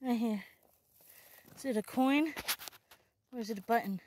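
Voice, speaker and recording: A woman's voice: on the high side, normal speed, slight background noise. Chatty woman vlogging in a field. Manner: excited, chatty, self-correcting. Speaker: metal detecting vlogger. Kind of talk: casual outdoor vlog narration. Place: open farm field outdoors. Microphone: handheld or body camera close up.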